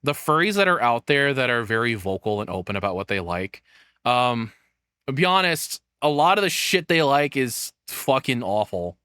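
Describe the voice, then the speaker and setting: A man streams his live reactions in a loose, rambling, profane style. His voice sits at an average male pitch. The sound is dry and close, with no room to it. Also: very animated, normal speed, bright, forceful tone, clear, resonant tone, no room sound. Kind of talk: casual live reaction commentary.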